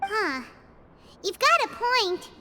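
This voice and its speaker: A tiny, cheeky fairy girl, with an extremely high, squeaky voice that bounces all over the place. She is an exclamatory game sidekick, heard over loud noise. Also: big pitch swings, slow, heavily acted. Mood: puzzled, curious. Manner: squeaky, exclamatory, speaks of herself in third person. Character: tiny childlike female fairy companion, chirpy and cheeky. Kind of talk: video game voice acting.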